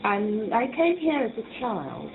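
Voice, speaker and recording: A woman's voice: a little high, sing-song, takes it slowly. An older woman, born in Jamaica, speaking emphatically and with indignation in a group discussion. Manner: emphatic, indignant, laughing as she tells it. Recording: tinny lo-fi recording with clear hiss.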